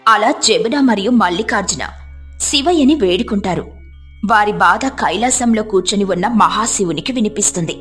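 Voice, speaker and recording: A woman's voice: medium pitch, animated, moderate pace, a bit bright, faint music behind. A woman storyteller reading scripted narration for a moral tale.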